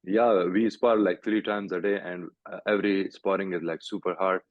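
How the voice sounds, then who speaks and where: A young Pakistani man, a fighter, answering interview questions over a video call in halting English full of "like" and "you know". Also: low voice, fairly even intonation, no room sound. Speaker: guest fighter. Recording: tinny call audio, dry.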